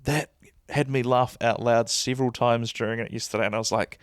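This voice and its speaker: A man hosting a podcast in casual chat, with a deep bassy voice and a rambling, laid-back way of talking. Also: fairly even intonation, brisk, no room sound, studio quality. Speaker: podcast host. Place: lying back while recording.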